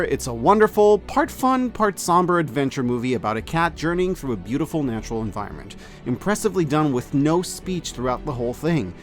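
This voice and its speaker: A man narrating a scripted, opinionated review in an emphatic, conversational way with deliberate dramatic stresses. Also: fairly high pitch. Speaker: host of a year-end media review.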